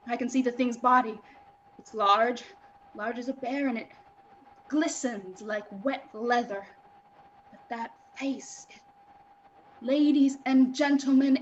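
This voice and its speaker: A woman playing an excitable radio reporter, an awed and alarmed eyewitness, in a live reading of a 1930s radio drama, with dramatic, suspenseful pauses. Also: fairly high pitch, dull audio, a little hiss or hum, lightly acted.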